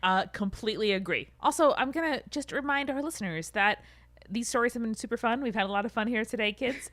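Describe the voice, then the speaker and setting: A woman's voice: fairly high pitch, quick pace, a bit bright, no room sound. A woman talking casually as the co-host of a podcast.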